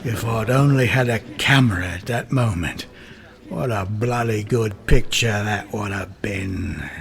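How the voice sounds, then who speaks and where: An actor playing a guilt-ridden, drug-addled London murderer giving a halting, theatrical confession in a radio drama. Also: male voice, lowish voice, creaky, a crowd in the background. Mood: horrified, bitter.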